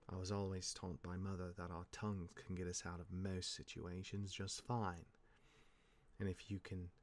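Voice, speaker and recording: A man playing a cursed demigod, a wry mentoring older brother who speaks in measured, dramatic pauses in an audio drama. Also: low-pitched. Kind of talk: dramatic audio roleplay dialogue.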